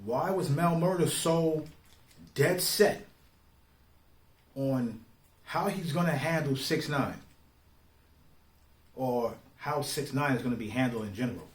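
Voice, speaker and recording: A man giving informal opinion commentary in street slang, speaking emphatically and deliberately, often putting rhetorical questions to the listener. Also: medium pitch. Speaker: online commentator. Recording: roomy, echoing sound.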